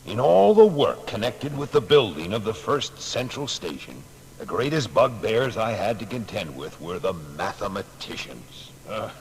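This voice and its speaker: Wry, folksy male inventor for audio biography, animated delivery, long reverb with noise. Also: on the low side. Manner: plain-spoken, wry. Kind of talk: dramatised audio biography acting. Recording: noisy, long echoing hall reverb.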